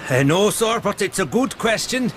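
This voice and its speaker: Radio drama: Irish police inspector, talkative, joking, swooping intonation, clear ambient noise. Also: male voice, sits high, lively intonation, normal speed. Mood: chatty, welcoming. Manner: jovial, wry, lilting and talkative. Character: genial, chatty Irish police inspector, fond of a joke. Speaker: actor.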